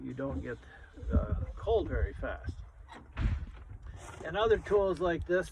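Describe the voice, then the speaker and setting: A man in his seventies talking casually to children as a grandfatherly guest, explaining things simply and plainly with halting pauses. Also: a little high, slight background noise, poor audio. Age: 70s. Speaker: grandfather, guest reader and outdoorsman. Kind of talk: casual show-and-tell for children.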